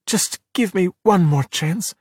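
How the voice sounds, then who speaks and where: A man's voice: high-pitched, slow, darkish tone, slightly rough, no echo, voice pushed up, exaggerated voice. A man voicing a timid, earnest young boy with a hesitant, pleading manner in a children's audiobook. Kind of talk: audiobook character voice in a children's story.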